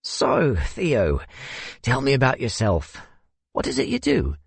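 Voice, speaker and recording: A man voicing a courteous, wistful older gentleman with a South African accent and a theatrical, hesitant manner in an audiobook. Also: medium pitch, wildly swooping pitch, slow pace, vocal fry, breathy edge, no room sound, voice pushed up, exaggerated voice. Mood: theatrical curiosity. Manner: theatrical, hesitant, old-fashioned politeness.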